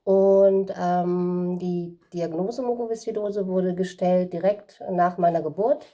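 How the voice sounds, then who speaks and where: A woman in her forties introduces herself. She speaks slowly, in a dark, mellow voice. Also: lowish voice, dull audio. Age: 40s. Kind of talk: personal self-introduction.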